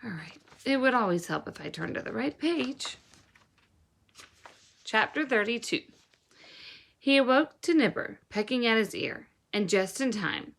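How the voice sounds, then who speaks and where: A woman reading a children's novel aloud as a teacher would, with an expressive, instructive delivery. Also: on the high side, measured pace, creaky, long pauses. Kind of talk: storybook read-aloud narration.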